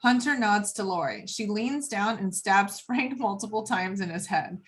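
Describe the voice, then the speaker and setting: A woman's voice: medium pitch, lively intonation, normal speed, a bit bright. A woman reading screenplay action lines as the narrator of a table read.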